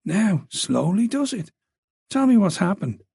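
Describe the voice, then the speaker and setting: A weary but steady detective, as a male narrator plays him, calm and reassuring in tone. The voice is gravelly and fairly high, recorded close and dry. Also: a little slow, no room sound, exaggerated voice.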